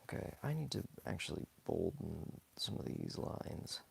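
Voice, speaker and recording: A hoarse young man talks casually while sketching live, rambling softly with many fillers and small laughs. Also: deep voice, huge pitch swings, measured pace. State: hoarse, scratchy from talking too much. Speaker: live-streaming sketch artist. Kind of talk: casual commentary while drawing.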